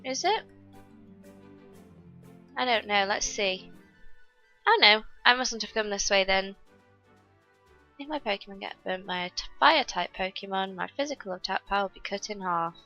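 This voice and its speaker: A woman's voice: mid-range voice, lively intonation, normal speed, pauses often, pushed and bright, dull audio, faint music behind. A young woman recovering from a cold, chatting through her gameplay and explaining each step to viewers. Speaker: gaming YouTuber. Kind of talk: casual gameplay commentary.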